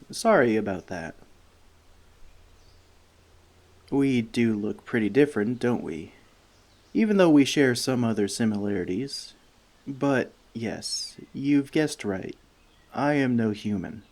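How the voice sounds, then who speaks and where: A man playing a friendly forest elf in an ASMR roleplay, talking to the listener with dry, gentle teasing. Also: medium pitch, lively intonation, unhurried. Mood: calm, reassuring, then wry, cautious.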